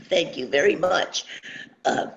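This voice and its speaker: Woman presenter, hoarse, raspy, gravelly, halting, dull lo-fi audio. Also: low-pitched. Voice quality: strained raspy voice. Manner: halting, with frequent fillers. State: hoarse from a cold. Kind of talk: webinar talk.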